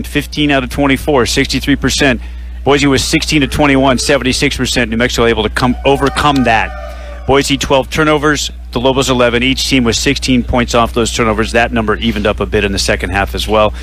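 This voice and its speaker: A man hosting a radio postgame broadcast, running through final stats and sponsor reads in a measured way. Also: medium pitch, fairly bright tone. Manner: stat-heavy, measured delivery with sponsor reads. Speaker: radio play-by-play announcer.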